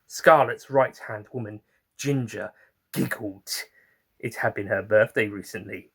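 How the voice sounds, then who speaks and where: A man's voice: on the low side, measured pace, fairly bright tone, husky, exaggerated voice. A man playing an eccentric, chatty time-traveller who narrates his own adventures in an audio story with theatrical, fond asides. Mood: whimsical, chatty.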